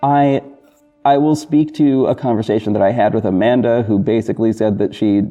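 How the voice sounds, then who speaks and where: A man hosting a casual, unscripted podcast update, talking in a rambling, halting and effusive way. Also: lowish voice, steady tone, warm tone. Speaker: podcast host and audio drama creator. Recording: dull muffled sound with music bed. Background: backing music.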